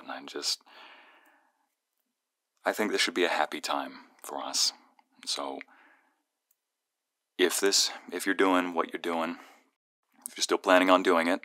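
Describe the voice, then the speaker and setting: A man acting as a young guy friend in an ASMR audio roleplay, with a very low voice and a close, dry sound. He talks as if on a call to someone he secretly cares for, teasing yet earnest and protective. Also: no room sound, full character voice. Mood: serious, concerned. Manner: teasing banter turning soft and earnest. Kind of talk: ASMR roleplay, one-sided phone call.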